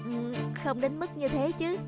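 A woman voicing a gullible, soft-hearted young woman in a cartoon, meek and apologetic. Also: high voice, measured pace, music in the background. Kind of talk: animated cartoon dubbing.

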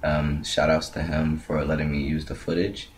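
A man's voice: a bass voice, monotone, normal speed, a crowd in the background. A man hosting a gaming video with casual, informal commentary over a noisy background.

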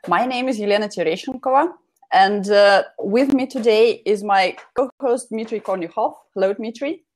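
A woman's voice: mid-range voice, normal speed. A young woman hosting a talk show over a video call, friendly and full of fillers. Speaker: host. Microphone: video call with earphones.

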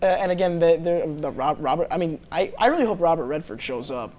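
A man's voice: fairly high pitch, animated, a little fast, darkish tone. A young man co-hosting a movie review show, chatting casually with many fillers and restarts, recorded on a lapel mic in a small TV studio. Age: young adult. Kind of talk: casual chat.

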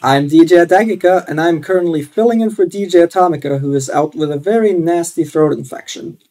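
A man's voice: fairly high pitch, fairly quick, mellow. A young man on a headset mic, giving a playful, upbeat mock radio DJ announcement in his own voice. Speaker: streamer. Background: faint game music.

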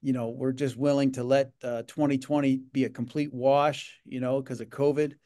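A man's voice: medium pitch, little pitch movement, moderate pace, dark tone. A middle-aged man speaking in a casual video-call interview from a home office, rambling with many fillers and repeated words. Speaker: gaming association leader. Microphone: video call webcam.

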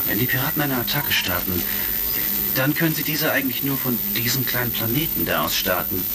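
An actor playing a confident, brisk space commander in a German sci-fi audio drama. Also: male voice, mid-range voice, animated, a crowd in the background, lightly acted. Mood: watchful. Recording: retro crackly audio drama recording with music.

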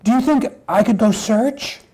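Woman playing an eager, high-voiced little puppy. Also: dark tone, slightly rough. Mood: eager, polite. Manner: halting, stammering, childlike pleading. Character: eager, plaintive little puppy boy longing for a friend.

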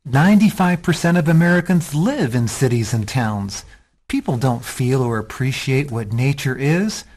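An earnest activist rebel in a radio drama, acted by a man. The voice sits at a middle pitch and argues with defiance and insistence. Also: expressive, moderate pace, a little mellow, close to an everyday voice. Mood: insistent.

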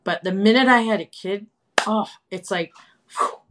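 A woman's voice: mid-range voice, moderate pace. Chatty fifty-something woman on a video call. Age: 50s. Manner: chatty, animated, laughing. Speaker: host. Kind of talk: casual live video chat. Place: home room with colorful wall. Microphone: phone camera, video call.

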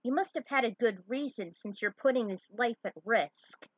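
A woman's voice: fairly high pitch, moderate pace, husky. A woman voicing a blunt, indignant warrior heroine who questions sharply, in a fan story reading. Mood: infuriated.

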